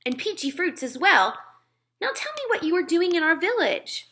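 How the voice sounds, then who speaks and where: A woman reading aloud puts on a little storybook girl with a high voice. She is earnest and curious, pressing her questions with a persistent, pleading tone. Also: sing-song, normal speed, sharp tone, full character voice. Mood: coaxing. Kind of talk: children's storybook read-aloud.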